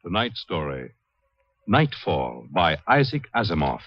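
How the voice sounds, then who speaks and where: Deep, sweeping male radio announcer. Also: sing-song, a little slow, slight background noise. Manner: dramatic, portentous. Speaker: series announcer. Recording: dull 1950s radio recording, loud music.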